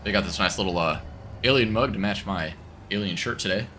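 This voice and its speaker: A man's voice: fairly low pitch, a little fast, bright, forceful tone, slight background noise. A man with a congested voice from a cold, rambling easily through casual commentary while streaming a driving game. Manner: rambling, self-correcting, easygoing.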